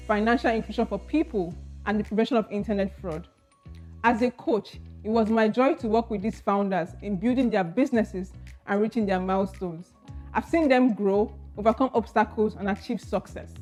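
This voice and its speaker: Mid-pitched woman coach over soft music. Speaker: startup coach. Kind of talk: scripted testimonial.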